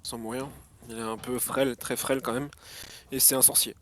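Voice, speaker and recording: A man player presenting his tabletop character in a halting way, with many fillers and restarts. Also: mid-range voice, level tone, fairly bright tone, a little hiss or hum.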